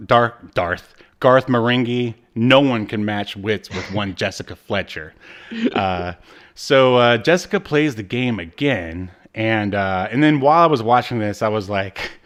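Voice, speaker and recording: A man's voice: fairly low pitch, a little slow. A man co-hosting a podcast, chatting casually through a recap with rambling, jokey asides. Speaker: podcast co-host. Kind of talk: casual chat, recapping a TV episode.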